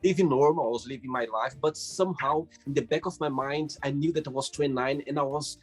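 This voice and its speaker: A man hosting a casual interview, asking questions and telling stories in a halting way with repeated words. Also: on the high side, husky. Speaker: host. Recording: faint music behind.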